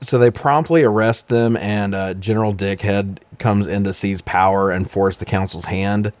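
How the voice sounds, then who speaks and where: A man's voice: fairly low pitch, steady tone, moderate pace, no room sound. A man co-hosting a casual film review podcast, chatting and asking trivia in a blunt, informal, profane way. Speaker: podcast co-host. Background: music bed.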